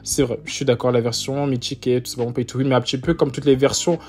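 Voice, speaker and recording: Chatty young French gamer, mid pitch. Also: male voice, level tone, fast talker, a little mellow, faint music behind. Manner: loose, chatty, constant fillers and "tout simplement" restarts; gamer slang, addresses viewers as "les gars". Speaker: mobile game content creator. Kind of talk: casual gaming commentary ranking weapons.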